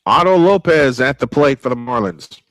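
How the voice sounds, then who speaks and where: A man calling live baseball play-by-play, excitable and prone to repeating his points. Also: mid-range voice, no room sound. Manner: excitable, repeats points, quick calls of action. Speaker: play-by-play announcer. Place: home sports studio.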